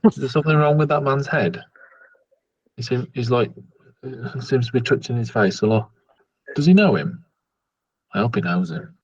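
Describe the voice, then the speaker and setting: A man's voice: medium pitch, animated, normal speed, long pauses, darkish tone, husky, no room sound. A man improvising in-character banter as a player in a tabletop game, heard over a lo-fi internet call. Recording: dull, lo-fi internet call audio.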